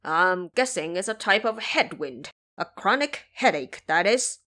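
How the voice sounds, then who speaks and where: A woman's voice: fairly low pitch, lively intonation, a little slow, bright, forceful tone. A woman voicing a jovial, simple male pig disciple in an audio drama. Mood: joking.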